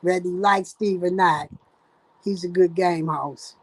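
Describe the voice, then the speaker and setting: An older woman from Ohio, the host's mother, chatting as a guest in halting speech full of fillers and restarts. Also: fairly low pitch, steady tone, no room sound. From Dayton, Ohio, USA. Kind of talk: casual chat.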